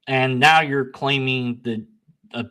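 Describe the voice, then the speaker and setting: A man's voice: mid-range voice, takes it slowly. A young man hosting his own channel, reading out an email exchange with blunt, sarcastic, self-mocking commentary. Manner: animated, sarcastic, laughs at himself, bickers with himself; blunt, argumentative when reading his replies. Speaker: channel host. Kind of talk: casual solo commentary, reading emails aloud.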